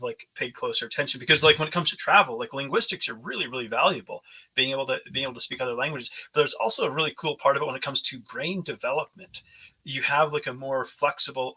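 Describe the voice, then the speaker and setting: A man's voice: medium pitch, bright, forceful tone, breathy edge, poor audio. A man hosting a podcast interview, chatty and rambling, often laughing as he talks. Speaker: podcast host. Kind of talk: casual interview chat.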